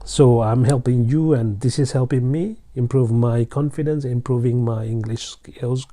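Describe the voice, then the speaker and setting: A Sri Lankan man teaching English in a relaxed, talky way, speaking to learners directly and encouraging them. His voice is deeply dark and mellow, at an ordinary pitch for a man. He restates his points over and over and fills pauses with "uh" and "um". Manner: repeats and restates phrases, frequent fillers. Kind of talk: casual spoken lesson.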